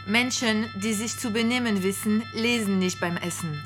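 A woman's voice: medium pitch, normal speed, natural voice. Icy, biting wife over music, played by a woman. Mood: icy scorn. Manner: biting, haughty. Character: icy, snide, scolding wife, Mrs. Pugh. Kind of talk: radio play acting.

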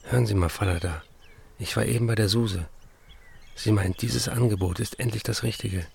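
An actor voicing a brisk, insistent male friend in a radio play, urging in a businesslike way. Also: lowish voice, vocal fry, darkish tone, a little hiss or hum.

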